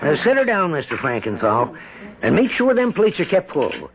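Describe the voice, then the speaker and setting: A sly, mocking American farmer in an old radio drama. His high voice swoops widely as he wheedles with false heartiness, and it creaks at the edges. The sound is a dull radio broadcast. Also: huge pitch swings, normal speed, background noise. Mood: falsely hearty, wheedling. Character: sly, mocking, prosperous older male farmer, wheedling schemer. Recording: dull, band-limited 1970s radio broadcast.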